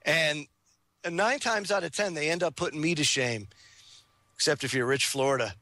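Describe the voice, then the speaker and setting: A man's voice: a little high, normal speed, sharp tone, dry recording. A man hosting a talk radio show, speaking in a casual, chummy way and bantering with callers.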